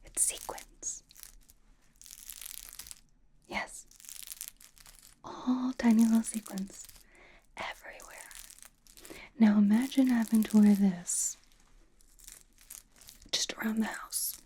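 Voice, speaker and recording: A woman playing a sassy, vain young K-pop star doting on a fan in an ASMR roleplay, in a breathy whisper with teasing, deadpan delivery. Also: a little high, slow, long pauses, a little hiss or hum. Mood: teasing, incredulous.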